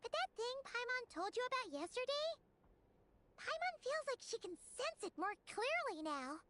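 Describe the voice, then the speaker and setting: Tiny girlish game fairy, extremely high squeaky voice, wildly animated, chirpy, chatty, earnest. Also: moderate pace, background noise. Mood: reassuring. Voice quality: squeaky, childlike. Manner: refers to self in third person, chatty. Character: small childlike female fairy companion, chirpy and earnest. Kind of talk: video game character dialogue.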